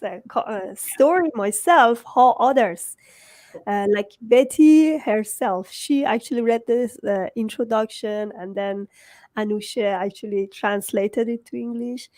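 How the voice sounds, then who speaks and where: A Persian-accented woman, native in Farsi, hosting a close, dry-sounding book conversation in a lightly pitched voice. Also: unhurried, darkish tone, no echo. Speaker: host, book coach. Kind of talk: conversational interview.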